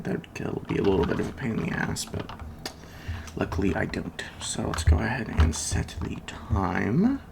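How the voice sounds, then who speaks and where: A soft-spoken ASMR performer in a repair roleplay as a computer technician, murmuring haltingly while absorbed in the task. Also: low voice. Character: unhurried computer technician repairing a robotic client. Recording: loud fan noise, home recording. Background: PC fan, keyboard typing, clicks, creaking chair.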